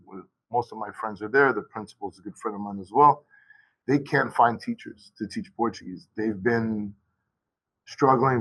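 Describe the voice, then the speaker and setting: Man, teacher, video call, casual panel talk, rambling, self-correcting, average pitch. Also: fairly bright tone, rough recording. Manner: rambling, with many restarts and asides. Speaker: high school Portuguese teacher. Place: school.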